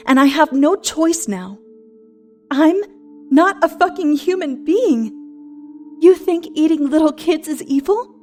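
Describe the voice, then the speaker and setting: A defiant shapeshifting monster, played by a woman with a fairly high voice, snapping with sarcasm and bitterness. It is an audio horror drama, with music underneath. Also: darkish tone. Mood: anguished. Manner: sarcastic, foul-mouthed, combative. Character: defiant, bitter century-old female shapeshifting monster in human form.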